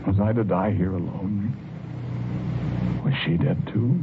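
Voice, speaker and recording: An actor playing a haunted, wounded husband telling his tragic tale haltingly and intimately, in 1940s radio drama narration on an old recording. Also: low voice, narrow, tinny audio, noisy background. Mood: haunted, grave.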